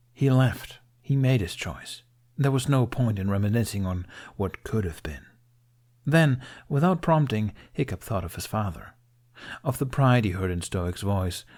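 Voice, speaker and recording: A man's voice: on the low side, a little mellow. A man reading a story aloud as an audiobook narrator, in a scripted, dramatic storytelling style.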